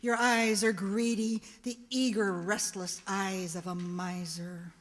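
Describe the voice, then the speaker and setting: A woman voicing a solemn, probing spirit of the past in a radio play, deliberate and commanding. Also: mid-range voice, little pitch movement. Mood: grave, reproachful. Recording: long echoing hall reverb.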